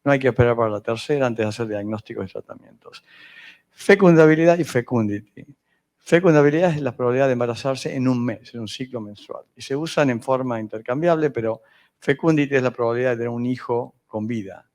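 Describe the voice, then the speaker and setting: A man from northern Argentina with a northern Argentine Spanish accent, giving a medical lecture on fertility, pausing often with fillers and restarts. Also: mid-range voice, a little mellow. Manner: hesitant, many fillers and restarts.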